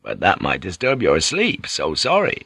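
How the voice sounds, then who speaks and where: A man's voice: low voice, wildly swooping pitch, moderate pace, gravelly, sharp tone, breathy edge, dry recording, clean studio sound, exaggerated voice. A man voicing an earnest, plain-spoken English ship's pilot in an audiobook. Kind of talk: audiobook character voice.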